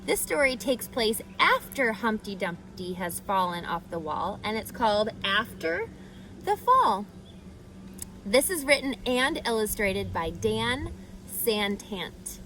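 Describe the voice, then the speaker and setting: Female children's read-aloud host, very lively intonation, deliberate and questioning, faint noise behind the voice. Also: medium pitch, rough recording. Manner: expressive, deliberate, addresses child listeners directly.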